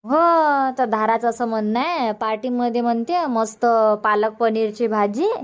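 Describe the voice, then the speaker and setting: A woman plays a village mother from a cartoon comedy, with a fairly high voice and a Varhadi Marathi accent. She chats in a homely, practical way, repeating herself as she agrees and organises. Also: measured pace, lightly acted. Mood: chatty, explaining. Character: chatty, practical village mother, middle-aged or older, organising an outing.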